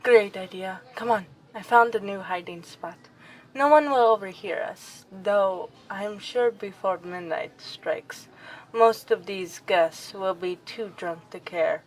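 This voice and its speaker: Woman playing an expressive, confiding vampire princess. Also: medium pitch, slow, natural voice, low-quality recording. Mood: conspiratorial. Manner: formal, expressive, aristocratic wording. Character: young noble vampire princess, affectionate and confiding. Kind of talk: ASMR roleplay acting.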